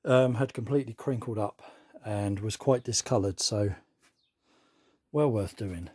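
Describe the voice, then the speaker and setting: A man, losing his voice, casually narrating his workshop restoration work in a soft, trailing way. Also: lowish voice, unhurried, slightly rough. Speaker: workshop host. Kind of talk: casual narration while working.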